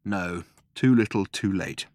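A man's voice: deep voice, a little slow, darkish tone, no room sound, clean studio sound. A man voicing a callous alien starship captain in an audiobook, pompous, sneering and menacing. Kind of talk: audiobook character voice.

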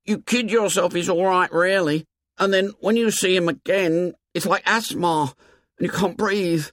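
A man's voice: high voice, measured pace, a bit bright, no room sound, clean studio sound, pitched above the performer's own voice. A man voicing an anxious, sensible schoolboy in an audiobook, pleading and insistent in colloquial grammar. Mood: anxious, earnest.

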